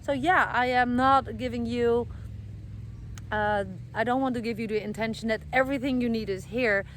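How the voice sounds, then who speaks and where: A woman's voice: fairly high pitch, fairly even intonation, normal speed, a little hiss or hum. A middle-aged woman from the Netherlands with a Dutch accent, chatting casually to camera outdoors, with many fillers and self-corrections. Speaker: vlogger. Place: outdoors, garden with trees being planted.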